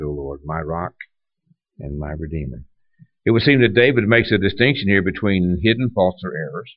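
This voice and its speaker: Male preacher, deep voice, plainspoken teaching with restarts, dry lo-fi sound. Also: dry recording. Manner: conversational teaching with frequent restarts. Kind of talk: sermon. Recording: dull lo-fi dry recording.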